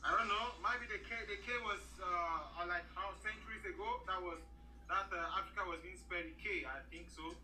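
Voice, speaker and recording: A friendly man from Cameroon chats over a video call, tossing in "like" and "uh" as he goes. His voice is high and very bright, and it sounds far from the mic in an echoey room. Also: fairly even intonation, brisk, slight background noise. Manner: friendly, repeats fillers like "like" and "uh". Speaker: video chat guest. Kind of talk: casual video chat. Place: room with a clock on the wall.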